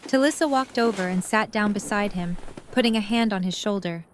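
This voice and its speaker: A woman's voice: mid-range voice, brisk, a little hiss or hum. A woman reading scripted story narration as the narrator of an audio drama.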